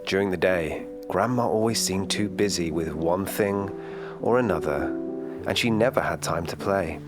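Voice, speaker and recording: A man reading a children's bedtime story in a calm, gentle storytelling manner. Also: low voice, normal speed, loud music behind the voice.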